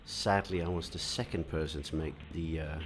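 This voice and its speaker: A man from Denmark commenting live on a pool match, explaining shots in a relaxed way and answering viewer comments, with frequent fillers. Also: extremely low pitch, moderate pace, noisy background.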